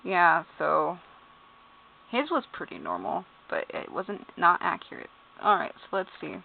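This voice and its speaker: A woman sits at home reading out clumsy character bios, spelling names letter by letter and laughing at the wording. Her voice sits a little low. The sound is narrow and tinny, like a phone line. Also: wildly swooping pitch, unhurried, vocal fry, a little hiss or hum. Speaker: YouTuber. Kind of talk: casual commentary reading game character bios.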